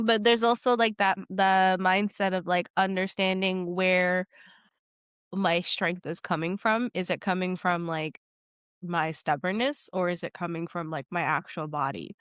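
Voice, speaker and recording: A woman's voice: lowish voice, fairly even intonation, measured pace, fairly bright tone, clear, resonant tone, no room sound, clean studio sound. A woman getting over a cold, sounding nasal, chatting as a podcast guest and rambling with lots of fillers. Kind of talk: casual conversation.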